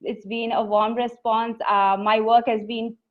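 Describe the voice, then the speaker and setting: A woman's voice: on the high side, flat delivery, unhurried. A woman poet with an Indian English accent, telling her story in an earnest, reflective interview. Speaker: poet and author guest. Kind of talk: conversational interview answers. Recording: dull lo-fi audio.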